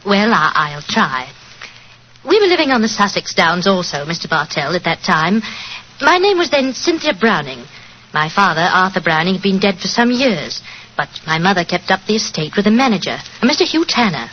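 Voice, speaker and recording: A woman's voice: medium pitch, sing-song, moderate pace, lightly acted. A woman playing a gracious, modest Englishwoman in a 1940s radio drama, with a British accent and genteel manner. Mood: fond, reminiscing. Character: gracious, modest grown Englishwoman recalling her childhood. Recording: muffled, noisy 1940s radio recording.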